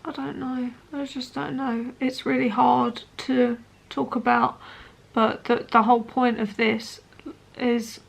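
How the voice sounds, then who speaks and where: A woman in her late twenties talking personally to camera as a vlogger, in an earnest, hesitant, halting way. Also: on the high side, level tone, gravelly. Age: late 20s.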